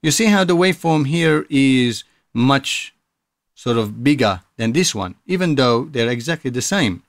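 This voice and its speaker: A man's voice: medium pitch, lively intonation, measured pace, dry recording, studio quality. A man presenting a music production tutorial in a casual, explanatory, informal way. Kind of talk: casual software tutorial narration.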